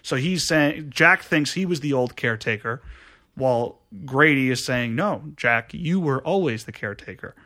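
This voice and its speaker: A man in a casual film discussion, with a mid-pitched voice. He explains scenes haltingly as a podcast co-host, often rephrasing himself as he goes. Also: animated, pushed and bright.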